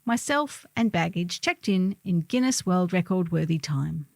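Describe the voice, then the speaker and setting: A woman's voice: on the low side, expressive, a little slow, creaky, no echo, clean studio sound. A middle-aged woman reading a humorous first-person column in a wry, theatrical storytelling manner.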